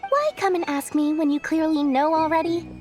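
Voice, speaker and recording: Game character, woman actor: haughty young investor, high and animated, faint music underneath. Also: normal speed, lightly acted. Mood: smug. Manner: haughty, brash, self-important, easily flustered. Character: haughty, mercenary young female investor, secretly kind. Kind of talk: video game cutscene acting.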